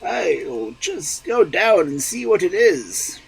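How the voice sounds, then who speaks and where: Guy doing a meek old peasant husband, husky, calmly protesting, storybook reading. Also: sits high, slow, pitched above the performer's own voice, heavily acted, rough recording. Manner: mild, protesting, reasonable.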